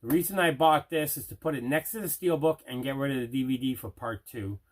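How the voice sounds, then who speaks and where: Man, medium pitch, casual unboxing commentary, enthusiastic, conversational collector. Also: brisk. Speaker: movie collector, video host.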